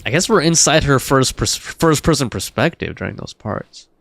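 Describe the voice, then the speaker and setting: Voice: mid-range voice, animated, normal speed, gravelly, a bit bright. A game streamer giving casual live commentary and chatting with viewers in laid-back, slangy phrasing.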